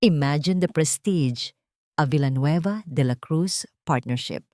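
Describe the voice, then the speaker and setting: An eager rich businessman, voiced by a female narrator makes a smooth, greedy pitch. It is dramatic storytelling in a dry, close recording. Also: a little slow, a little mellow, no echo. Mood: eager, persuasive.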